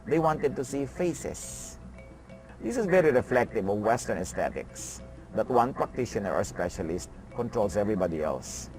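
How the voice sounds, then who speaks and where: A man's voice: medium pitch, gravelly, a little mellow, rough recording. A man from the Philippines, speaking earnestly and explaining things in a documentary interview.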